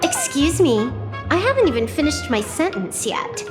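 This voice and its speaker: Cool cheeky young hacker outlaw in a game, highish lively voice, echoey with music. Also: female voice, moderate pace. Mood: annoyed. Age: young adult. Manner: deadpan wit, cheeky and unbothered. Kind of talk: video game voice acting.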